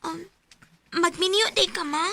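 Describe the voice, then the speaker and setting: A woman's voice: extremely high pitch, fairly even intonation, unhurried, gravelly, sharp tone, slight background noise, full character voice. A woman playing a polite, trusting schoolgirl daughter in a radio drama, with a Filipino accent. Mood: polite, hesitant.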